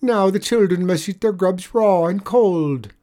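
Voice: mid-range voice, a little slow, warm tone, voice pushed up, natural voice. A performer voicing a wily little Irish leprechaun man in a storybook tale, coaxing with a mournful lilt. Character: wily little leprechaun man spinning pitiful sob stories.

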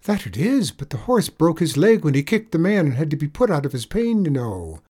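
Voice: mid-range voice, big pitch swings, a little fast, a little mellow, slightly rough, pitched above the performer's own voice. A performer voicing a wily little Irish leprechaun man in a storybook tale, coaxing with a mournful lilt. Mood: mournful, insistent. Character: wily little leprechaun man spinning pitiful sob stories.

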